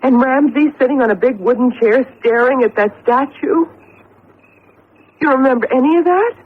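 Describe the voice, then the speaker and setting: An actress playing an anxious, devoted wife in a vintage radio mystery, earnest and hesitant. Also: female voice, high-pitched, moderate pace, breathy edge. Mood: urgent, questioning. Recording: tinny, hissy old radio broadcast.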